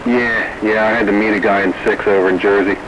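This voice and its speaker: A man's voice: lowish voice, fairly even intonation, quick pace, muffled recording. A man chatting casually as a caller over CB radio. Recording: noisy two-way radio transmission.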